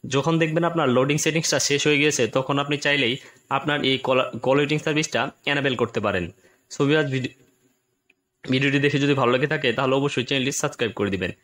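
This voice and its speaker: Guy doing a phone how-to, talks really fast, normal pitch, repeats himself a lot step by step. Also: fairly bright tone. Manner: repetitive explanatory phrasing. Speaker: tech tutorial presenter. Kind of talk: step-by-step phone tutorial narration.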